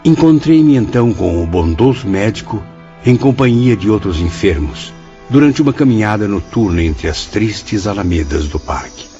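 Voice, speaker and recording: A man playing a melancholy male spirit recounting his afterlife memoirs in a radio drama, with measured, reflective delivery. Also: lowish voice, expressive, warm tone, lightly acted. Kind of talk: radio drama acting and narration. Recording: dull lo-fi audio with music behind.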